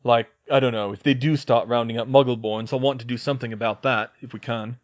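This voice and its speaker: A man's voice: medium pitch, fairly even intonation, fast, dull audio, natural voice. A man voicing a modest teenage boy who speaks plainly and hesitantly. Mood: earnest.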